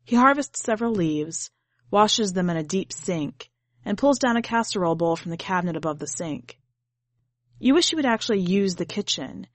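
A woman's voice: lowish voice, no room sound. A woman reading literary fiction as scripted narration for a podcast. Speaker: podcast host and story reader.

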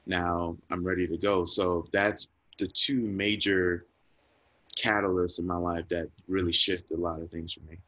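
Earnest low-voiced man, dry sound. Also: flat delivery, a little slow, pushed and bright, no room sound. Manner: earnest, reflective, repeats words when moved. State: emotional, near tears. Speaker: guest speaker. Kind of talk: emotional personal storytelling.

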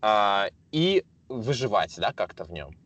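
A man hosting a casual interview, asking long questions slowly with frequent hesitations. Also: lowish voice, very animated, fairly bright tone, no room sound, highs cut off. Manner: slow, searching, frequent hesitations. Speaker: interview host.

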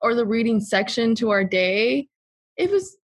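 A woman's voice: fairly high pitch, big pitch swings, measured pace, breathy edge. A young woman hosting a casual podcast, earnestly steering the topic and talking expressively. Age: young adult. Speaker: podcast host. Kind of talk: casual chat.

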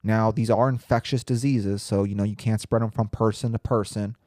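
A laid-back young man talks through his solo podcast, loose and profane, with a slightly nasal, congested sound from a lingering cold. His voice sits on the low side and comes across dark and mellow. The room is dead and dry around him. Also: fairly even intonation, a little fast, no room sound. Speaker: podcast host. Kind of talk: casual solo podcast talk.

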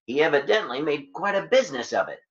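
An actor with an American accent playing a suave, bantering married detective in a 1940s-style radio drama. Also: male voice, on the high side, sing-song, fast, a bit bright. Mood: intrigued. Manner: flippant, bantering. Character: suave, wisecracking married male private detective. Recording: dull old radio recording.

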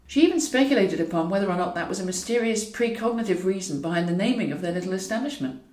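A woman's voice: medium pitch, expressive, fast, a little mellow. A woman reads scripted fiction as a narrator, with a wry, wordy storytelling manner. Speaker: author reading own audiobook. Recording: roomy echo, distant from mic.

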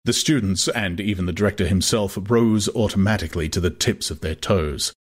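A man narrating a dramatized audiobook in a measured, literary manner. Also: deep voice.

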